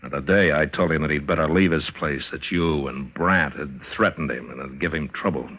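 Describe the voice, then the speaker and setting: An American actor playing a stern, weary frontier lawman, grave and deliberate, in an old-time radio drama. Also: extremely low pitch. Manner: grave, deliberate, plain-spoken. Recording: dull vintage radio recording.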